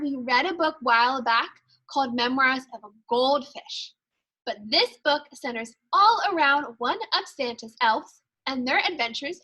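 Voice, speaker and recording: A woman teacher addressing young children in an animated, expressive storybook read-aloud style. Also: high voice, low-quality recording. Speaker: second grade teacher reading aloud to pupils.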